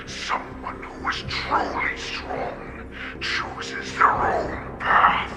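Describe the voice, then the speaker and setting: A man playing an ancient wolf-like warlord in a video game, proud and menacing, with a growling voice and slow, grandiose, breathy pronouncements. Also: whispered. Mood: proud, defiant. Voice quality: very deep, gravelly growl. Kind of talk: dramatic video game villain acting. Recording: roomy reverb with music behind.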